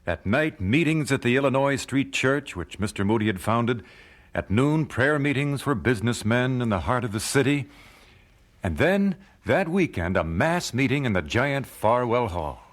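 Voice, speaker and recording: An actor playing an earnest, courteous gospel singer in an audio drama, polite and modest. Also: male voice, mid-range voice, animated, normal speed, natural voice. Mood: reflective, earnest. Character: earnest, courteous male gospel singer telling his story. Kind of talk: audio drama acting with reminiscing narration.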